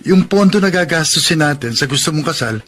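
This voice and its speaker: An actor with a Filipino accent playing a practical, working-class young suitor in a radio drama, with an earnest, pleading manner. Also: male voice, a little high, expressive, a little fast, fairly bright tone, a little hiss or hum. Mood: pleading, hurt. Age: young adult. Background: faint music.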